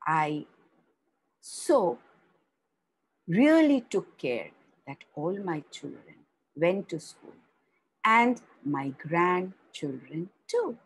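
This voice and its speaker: A soft-spoken grandmother in her sixties , read by a woman storyteller, sad yet determined as she talks to her grandchild. The voice is breathy and husky, with a pitch that rises and falls a lot. She speaks slowly and earnestly, like a storybook reading. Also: sing-song, exaggerated voice, poor audio. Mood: fond, wistful. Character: gentle sixty-two-year-old grandmother, sad but determined.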